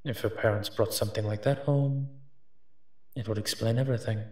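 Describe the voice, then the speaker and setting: A man playing a teasing, protective monster detective in human form, gentle and husky, in an intimate audio roleplay. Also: fairly low pitch, steady tone, no room sound, close to an everyday voice. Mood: soft, wry. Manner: slow, teasing, tender endearments.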